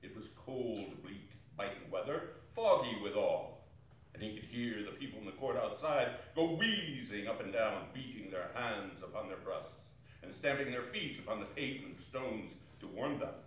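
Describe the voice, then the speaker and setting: A man giving a theatrical dramatic reading of a classic story, declaiming and relishing drawn-out words. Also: fairly low pitch, animated. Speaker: stage reader in a theatre company. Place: arts center performance space, distant camera. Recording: echoey hall from far off, faint noise.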